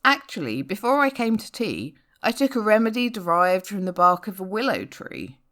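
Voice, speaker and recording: A woman reading a comic narrative poem aloud in a wry, theatrical, expressive way. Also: medium pitch, moderate pace. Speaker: poetry reader. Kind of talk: dramatic spoken-word poem reading, rhyming storytelling.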